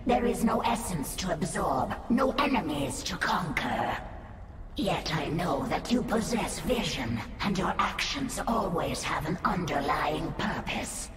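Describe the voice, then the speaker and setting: Creature role: formal, probing alien brood mother, low pitch, distant and echoey. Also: sing-song, a little slow. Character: proud female alien brood creature, analytical, stiffly formal. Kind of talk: sci-fi video game acting. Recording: echoey reverb, distant, loud music.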